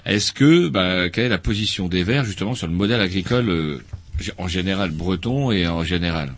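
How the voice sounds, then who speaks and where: A man's voice: low voice, expressive, a little fast, no echo. A man hosting a casual radio talk show, chatty and joking as he steers the talk. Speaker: radio host. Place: radio studio.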